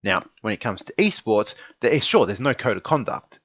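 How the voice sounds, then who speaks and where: A man's voice: lowish voice, very animated, a little fast, a bit bright, no echo. Aussie guy late 20s, earnest opinion rant, repeats stuff for emphasis, dry kinda muffled audio. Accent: Australian. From Australia. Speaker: esports content creator giving an opinion piece. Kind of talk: informal opinion monologue.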